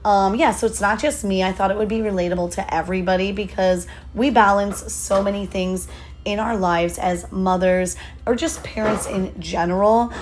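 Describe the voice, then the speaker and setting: A woman in her thirties with a hoarse voice, chatting casually and upbeat through a vlog voiceover. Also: medium pitch, steady tone, moderate pace, a little hiss or hum. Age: 30s. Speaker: lifestyle vlogger.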